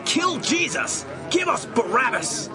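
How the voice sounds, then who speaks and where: Male actors shouting as a furious mob demanding an execution in a radio drama. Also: very high-pitched, very animated, slow, over music, exaggerated voice. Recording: loud crowd voices layered together.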